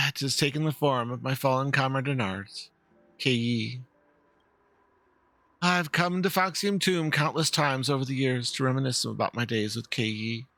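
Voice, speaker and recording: A man voicing a dignified, grieving fox-woman pilot veteran, measured and earnest, in a game dialogue reading. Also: mid-range voice, a bit bright, no room sound, natural voice. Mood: wistful grief.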